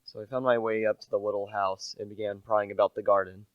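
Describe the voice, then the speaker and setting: A man's voice: fairly low pitch, flat delivery, fast talker, clean tone, dry recording, dull audio, close to an everyday voice. A young man acting a humble, chatty small-time salesman who narrates a radio play in a plain, confiding manner. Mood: musing. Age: young adult. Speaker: narrator and lead character.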